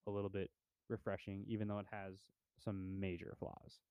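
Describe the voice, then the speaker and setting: A man's voice: sits low, completely flat delivery, normal speed, mellow, clean tone, no room sound, clean studio sound. A young man co-hosting a podcast, chatting casually about movies in a laid-back, rambling way with lots of fillers. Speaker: podcast co-host. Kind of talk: casual movie review chat.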